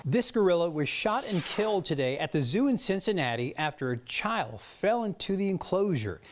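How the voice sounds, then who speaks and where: A man's voice: high voice, sing-song, moderate pace, slight background noise. A man with an American accent reading scripted news stories as a television anchor, with some banter.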